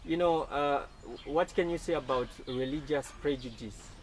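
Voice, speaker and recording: A man's voice: fairly high pitch, normal speed, slight background noise. A man with a Zambian accent hosting a talk show and putting questions to a guest. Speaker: talk show interviewer and host. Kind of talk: interview questioning.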